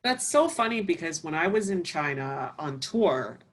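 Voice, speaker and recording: A woman's voice: sits low, big pitch swings, normal speed. Chatty Canadian woman guest. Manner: chatty, many fillers. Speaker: musician guest. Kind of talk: casual interview chat.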